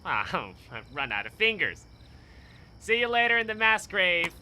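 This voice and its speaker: Very bright-voiced wry man, acted in radio drama. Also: high voice, sing-song, normal speed, slight background noise, exaggerated voice. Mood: wry, bitter. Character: destitute, wry war veteran, bitterly ironic. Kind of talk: radio play acting.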